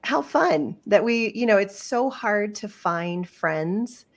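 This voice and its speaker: A woman's voice: medium pitch, lively intonation, slow. A woman hosting a podcast interview, talking in an earnest, effusive way with plenty of fillers. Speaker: podcast host. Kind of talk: casual interview chat.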